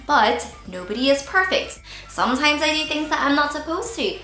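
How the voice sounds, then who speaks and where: A woman's voice: high voice, very animated, moderate pace, sharp tone, reverberant, soft background music. A woman in her thirties from Northern Ireland with a Northern Irish accent, chatting candidly to camera as a beauty vlogger, joking and tripping over words. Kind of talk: casual chat to camera.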